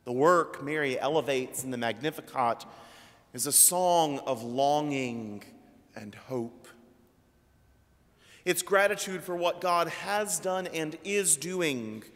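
Slow, measured man preaching in a church. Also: lively intonation, a bit bright. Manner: slow, measured preaching with trailing drops in pitch. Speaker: priest. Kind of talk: sermon. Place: church sanctuary at a pulpit. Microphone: pulpit microphone. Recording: long church reverb.

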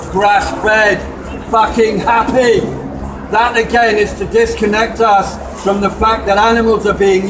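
Guy giving an emphatic protest speech, really high pitch, far off with loud noise. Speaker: animal rights protester. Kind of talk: protest speech and chanted slogans. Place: shop doorway at a street protest. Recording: distant, echoey, very noisy street recording.

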